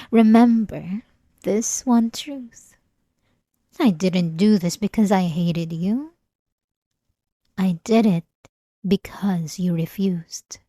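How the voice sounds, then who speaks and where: A woman's voice: mid-range voice, slight background noise. A woman playing a centuries-old, possessive vampire lover in an ASMR roleplay, silky and condescending, with sweet menace, close to the mic. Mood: menacing pleading. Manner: slow, silky, condescending endearments, teasing menace. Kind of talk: ASMR roleplay, dramatic monologue. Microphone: close, dry studio-style mic.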